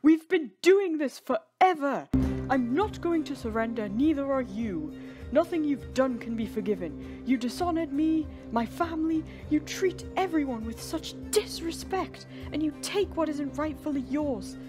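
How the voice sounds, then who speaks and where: A woman playing a stern, protective lord with a forceful, commanding manner in a dramatic audio story. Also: high voice, animated, unhurried, music in the background, voice pushed up. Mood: indignant outburst. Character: stern wronged lord, man, protective and indignant.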